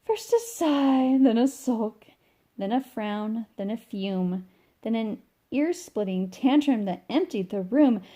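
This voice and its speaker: A woman reading a rhyming children's picture book aloud in an expressive, sing-song way. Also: a little high, slow pace. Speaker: storybook reader.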